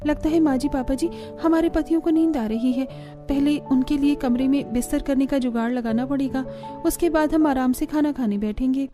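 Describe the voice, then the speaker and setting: A woman's voice: high-pitched, a little fast, over music, close to an everyday voice. A woman voicing a dutiful young village daughter-in-law in a family cartoon, polite, earnest and practical. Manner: deferential, earnest, pleading. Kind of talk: Hindi family cartoon voice acting.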